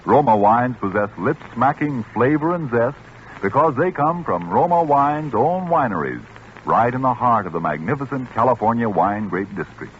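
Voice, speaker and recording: A man working as a commercial announcer, giving a polished, emphatic 1940s radio advertising pitch. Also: expressive, moderate pace. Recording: dull, faintly noisy 1940s radio broadcast.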